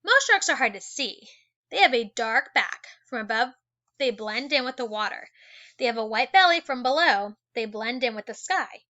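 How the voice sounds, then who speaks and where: A woman teacher reading an educational children's book aloud in an animated way, adding exclamations and wondering asides for young listeners. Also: a little high, normal speed, lo-fi sound.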